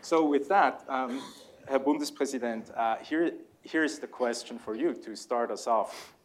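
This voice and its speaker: A man's voice: medium pitch, measured pace, slight background noise. A man with a Swiss German accent moderating a panel discussion in a lecture hall, courteous and lightly self-deprecating.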